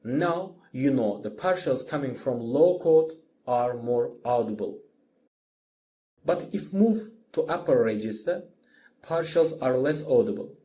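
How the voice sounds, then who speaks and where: A man who is a non-native English speaker narrates a scripted music lesson as the instructor, in a deliberate, explanatory manner. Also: mid-range voice, lively intonation, warm tone, slight background noise. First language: not English.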